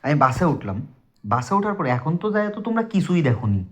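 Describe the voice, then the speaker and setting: A man's voice: fairly high pitch, big pitch swings, fast talker, a bit bright. A man with a southwestern Bangladeshi Bengali accent telling a personal story casually and emphatically, talking straight to his listeners. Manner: conversational, emphatic, addresses listeners directly. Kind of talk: casual spoken personal ghost story to friends. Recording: dull lo-fi recording.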